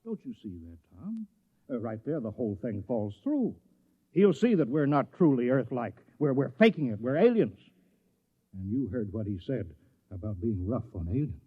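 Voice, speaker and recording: An actor playing a fussy, anxious small-town colony mayor in a 1950s radio drama, flustered and earnest with a folksy manner. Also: male voice, medium pitch, sing-song, moderate pace, breathy edge. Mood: earnest, pleading. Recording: dull, muffled vintage radio recording.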